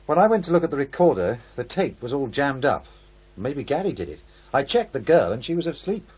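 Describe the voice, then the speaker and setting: An actor playing an eager, puzzled male radio presenter in a horror radio drama, with a husky voice. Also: medium pitch, very animated, a little fast, darkish tone.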